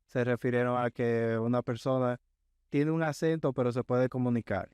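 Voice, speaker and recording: A man who is a guest on a podcast, telling a work story in a measured way and often checking in with his listener. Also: mid-range voice, fairly even intonation, a little mellow, smooth, dry recording. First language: Spanish. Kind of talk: casual interview chat.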